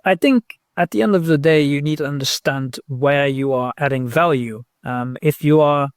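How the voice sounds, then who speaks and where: A man speaks as an interview guest in a conversational style. He chooses his words in a measured, slightly halting way, working through his thoughts as he goes. The sound is very dry, with hardly any room in it. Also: fairly high pitch, no echo. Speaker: podcast guest, recruitment technology professional.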